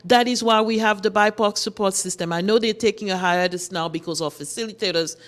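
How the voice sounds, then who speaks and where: A woman's voice: mid-range voice, a little fast. A middle-aged woman from Haiti, a nonprofit director, explaining her work earnestly in a morning show interview. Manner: earnest, explanatory, with frequent restarts. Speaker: guest, nonprofit executive director. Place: TV studio.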